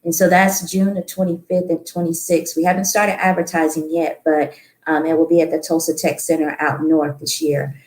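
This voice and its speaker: A woman's voice: low-pitched, level tone, normal speed, a little mellow. A woman giving a community announcement during a group discussion, informative and hesitant, with frequent ums. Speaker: participant. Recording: echoey room with faint noise.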